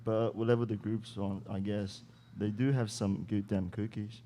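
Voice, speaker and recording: A man's voice: fairly low pitch, level tone, moderate pace, natural voice. A young man acting a blunt, practical student broadcaster in an amateur radio play. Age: young adult. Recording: clear background noise.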